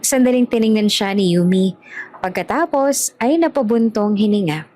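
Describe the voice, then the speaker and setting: A woman's voice: medium pitch, animated, moderate pace, mellow. A woman storyteller reading scripted narration for an audio drama. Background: music.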